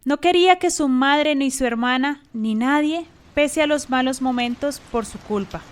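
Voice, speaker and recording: A woman's voice: sits high, normal speed. A woman narrator telling a scripted story for a radio drama.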